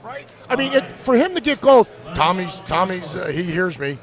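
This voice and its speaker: Brief: male live sports commentator, fairly high voice, outspoken, restarts and repeats words, music audible in the mix. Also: sing-song, normal speed. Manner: opinionated, blunt, repeats words while thinking. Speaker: lead commentator.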